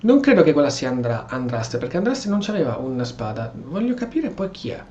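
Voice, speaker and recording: A man's voice: medium pitch, big pitch swings, quick pace, a little mellow, muffled recording. Man gaming, faint background noise. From Italy. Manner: reacts aloud, answers game characters. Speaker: gaming video host. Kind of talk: casual Italian-speaking let's play commentary, role-playing. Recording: faint game ambience behind. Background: video game audio.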